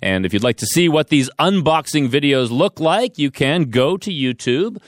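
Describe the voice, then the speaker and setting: Male radio host, animated delivery, dry close-mic sound. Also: fairly high pitch, normal speed, no echo. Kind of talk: scripted radio introduction.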